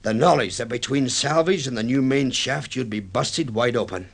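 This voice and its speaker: An actor playing a weather-beaten, defiant sea captain in a radio drama, speaking forcefully and accusingly. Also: male voice, mid-range voice, normal speed, vocal fry. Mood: angry, defiant. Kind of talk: 1950s radio drama acting.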